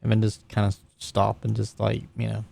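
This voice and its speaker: A man's voice: fairly low pitch, monotone, fairly quick, vocal fry, dark tone. A man talking casually as a player at a tabletop game. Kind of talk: casual chat.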